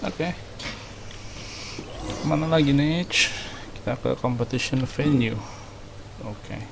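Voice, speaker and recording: A man's voice: medium pitch, slow, vocal fry, noisy background. A young Indonesian man doing casual, slangy commentary as a gaming streamer. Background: game music and dialogue.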